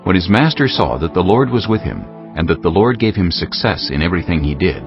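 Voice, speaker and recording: A man narrating a dramatized scripture text in a measured, solemn storytelling style. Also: deep voice, very animated. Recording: dull lo-fi audio, faint music behind.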